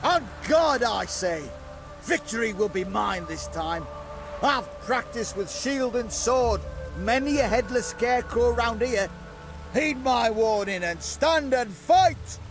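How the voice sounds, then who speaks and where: Game braggart: high-pitched, slow bombastic declaiming, eager to fight, music behind. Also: male voice, animated, full character voice. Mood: boastful, defiant. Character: pompous, boastful male would-be fighter. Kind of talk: video game voice acting.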